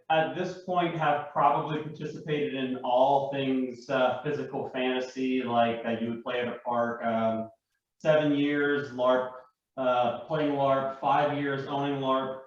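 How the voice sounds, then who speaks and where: A man speaks casually as a panel guest in a flat, even tone. He is heard from a distance in a very echoey room. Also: medium pitch, highs cut off. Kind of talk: casual self-introduction. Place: indoor room shared with others.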